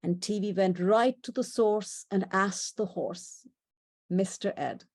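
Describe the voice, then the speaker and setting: A woman's voice: medium pitch, steady tone, slow pace, a little mellow. A middle-aged woman from India with an Indian accent, giving a chatty illustrated talk with asides and small laughs. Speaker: presenter. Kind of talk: illustrated talk on horses in history and culture.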